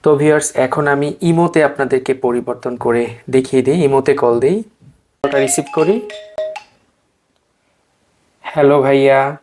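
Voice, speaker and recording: A man's voice: mid-range voice, normal speed, long pauses, music in the background. A man with a Bangladeshi accent giving an informal product demonstration to viewers. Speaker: software demonstrator and seller.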